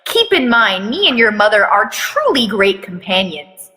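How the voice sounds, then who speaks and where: A woman's voice: mid-range voice, big pitch swings, a little slow, sharp tone. A woman voicing a jaded, scolding middle-aged female school clerk in a condescending, needling way, for a story reading. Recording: echoey reverberant room.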